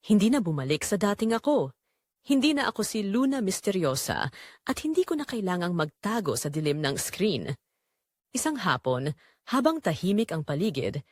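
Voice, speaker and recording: A woman's voice: mid-range voice, no echo, clean studio sound. A woman reading dramatic first-person scripted narration as the narrator of an audio drama.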